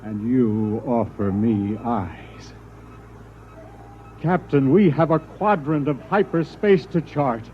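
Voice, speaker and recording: An actor playing a stubborn, visionary blinded space lieutenant in a 1950s radio drama. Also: male voice, mid-range voice, slow, natural voice. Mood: fervent, straining. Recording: muffled old radio broadcast, faint noise.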